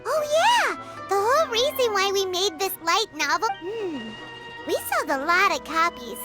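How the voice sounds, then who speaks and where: A woman's voice: very high voice, very animated, a little slow, over loud music, heavily acted. A woman voicing a tiny, naive, childlike companion in a video game, bubbly and full of exclamations. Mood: excited. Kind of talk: scripted game voice acting.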